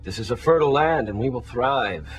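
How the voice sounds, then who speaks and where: A man voicing a grandiose conquering leader, proclaiming pompously in a husky voice, as if in toy play. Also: mid-range voice, animated, a little fast, soft background music. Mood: grandly proclaiming.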